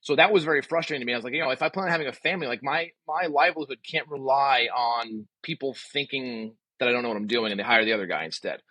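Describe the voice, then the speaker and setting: A man's voice: mid-range voice, quick pace, few pauses, sharp tone, dry recording, low-quality recording. A man in his forties talking casually and emphatically as a podcast guest, voicing strong opinions and often asking rhetorical questions. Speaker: podcast guest, physical therapist.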